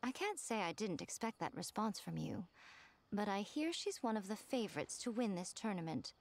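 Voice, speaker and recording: A woman voicing a composed, knowing, coolly amused mentor in a video game, with measured, formal phrasing. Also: mid-range voice, sing-song. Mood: cool, challenging.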